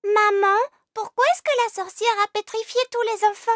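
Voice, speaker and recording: A woman's voice: very high-pitched, little pitch movement, normal speed, a bit bright, dry recording, clean studio sound. A woman voicing a cheerful, chatty little fairy princess girl in a French cartoon dub. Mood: curious. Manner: chatty, pleading, bubbly.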